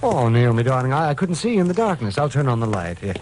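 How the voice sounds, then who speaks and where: An actor playing a devoted, naive, anxious husband in a vintage radio drama, with halting, emotional delivery. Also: male voice, mid-range voice, sing-song, a little mellow, lightly acted. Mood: tender, reassuring. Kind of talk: 1940s-50s radio detective drama acting. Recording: noisy vintage radio recording.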